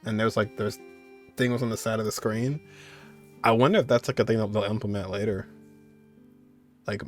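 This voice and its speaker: A man's voice: fairly low pitch, fairly bright tone. A man giving casual, slangy commentary as a gaming streamer, reacting freely as he plays.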